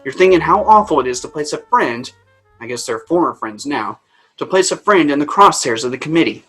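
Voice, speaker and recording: An actor playing a defiant, self-justifying mid-century director in a staged reading, addressing the listener bluntly and emphatically in a theatrical monologue. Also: male voice, on the high side, lively intonation, brisk, fairly bright tone, husky, soft background music. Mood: defensive, defiant.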